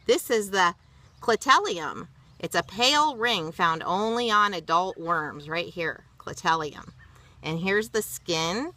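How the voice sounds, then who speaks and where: Animated woman reading aloud to children. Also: fairly low pitch, dry recording. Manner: expressive, explains simply to young listeners. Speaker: storyteller reading a picture book to children. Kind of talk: read-aloud with chatty asides.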